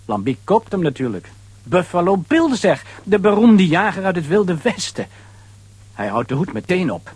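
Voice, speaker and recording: A male storyteller narrates a children's audio play. His voice is rather high and very husky, with animated pitch and a conversational, dramatic delivery. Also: moderate pace, no echo.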